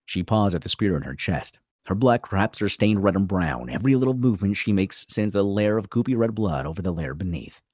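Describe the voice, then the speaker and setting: A man's voice: low voice, animated, a little fast, few pauses, darkish tone, no echo, studio quality. A man narrating a screenplay's stage directions at a table read, theatrical and punchy in short clipped phrases.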